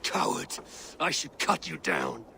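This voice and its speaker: A blunt, honor-bound Viking warrior, with a bright, fairly high voice. It is a video game cutscene with faint noise behind. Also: male voice, very animated, slow, gravelly, heavily acted. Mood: stern. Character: stern male Viking warrior, proud and blunt.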